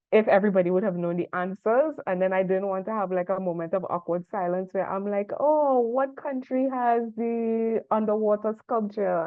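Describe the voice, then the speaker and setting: A young woman from Trinidad with a Trinidadian accent, giving a lively, chatty presentation full of asides. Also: mid-range voice. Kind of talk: conference talk. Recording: tinny phone-like sound.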